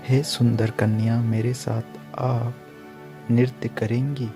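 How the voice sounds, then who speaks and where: A man's voice: mid-range voice, little pitch movement, slow, mellow, soft background music, close to an everyday voice. A man playing a courteous, formal king in a children's cartoon story. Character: courteous adult king who taught a proud wife humility.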